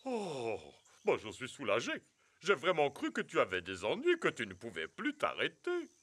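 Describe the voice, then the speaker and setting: A man's voice: mid-range voice, huge pitch swings, normal speed. A man voicing an anxious, easily frightened talking vehicle in a children's cartoon dub, exclaiming dramatically. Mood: relieved. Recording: loud music under the voice.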